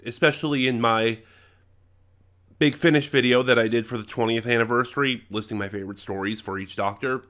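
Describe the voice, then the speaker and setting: Male reviewer, congested with a cold, mid-pitched, very varied intonation, casual, halting, opinionated, unscripted. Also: fairly bright tone. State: stuffy from a head cold.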